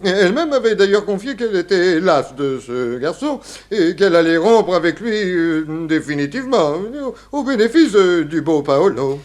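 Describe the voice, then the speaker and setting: High-voiced, flustered, stammering man. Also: lively intonation, normal speed, few pauses. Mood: embarrassed, gossipy. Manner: stammering, hesitant, repeats words. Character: flustered, nervous, gossipy, eager-to-please male witness. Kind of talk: 1960s French radio comedy-mystery acting.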